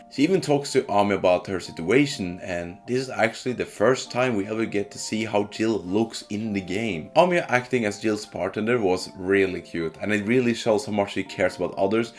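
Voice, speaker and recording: A man narrating a video game review in an informal, conversational storytelling way, like a casual video essay. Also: on the low side. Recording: music behind the voice.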